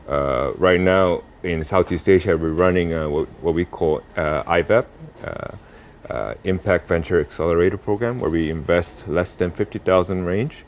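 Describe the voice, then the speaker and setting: A young adult man from South Korea answering interview questions about impact investing, pausing often with fillers and restarts. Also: extremely low pitch, a little hiss or hum. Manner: halting, many fillers and restarts.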